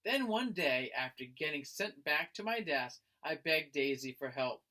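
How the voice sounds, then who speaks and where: A man reading a children's picture book aloud like a teacher, in an expressive, dramatic manner. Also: a little high, a little slow, rough recording. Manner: dramatic, acting out the lines.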